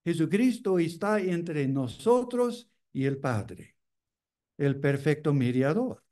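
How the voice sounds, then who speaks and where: Slow, deliberate male preacher. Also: a little high, sing-song, mellow. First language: English. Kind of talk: sermon.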